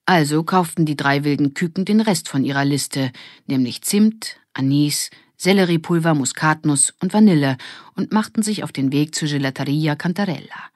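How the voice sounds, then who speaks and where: Female narrator for children's audiobook, low register, very dry studio sound. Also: moderate pace, dry recording.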